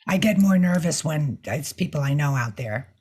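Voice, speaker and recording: Older woman, hoarse, deep, gravelly, breathy, thoughtful interview guest. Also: animated, normal speed, darkish tone. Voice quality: scratchy, hoarse. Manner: thoughtful, with many fillers. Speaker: guest mime artist. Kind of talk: interview answers.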